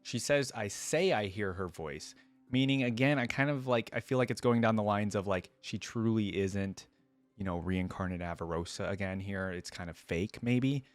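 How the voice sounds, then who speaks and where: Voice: low-pitched, normal speed, no echo. A reaction video host giving casual, conversational commentary on game voice lines.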